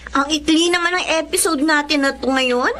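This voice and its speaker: A chirpy Filipino girl in a radio drama. Her voice is extremely high and piercing, with a fussy edge. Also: moderate pace, fairly bright tone, slight background noise. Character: chirpy, fussy young girl friend with camera.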